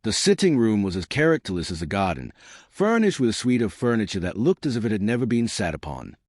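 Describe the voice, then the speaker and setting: A male audiobook narrator tells a scripted mystery in the first person. His voice sits fairly low and moves expressively, recorded close and dry. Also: very animated, quick pace, dry recording.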